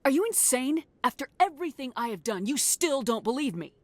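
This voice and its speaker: A woman's voice: high-pitched, big pitch swings, a little fast, no room sound. A woman playing a firm, blunt military commander in a science fiction video game. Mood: urgent, indignant. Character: resolute human female military commander in space opera. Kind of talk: cinematic game voice acting.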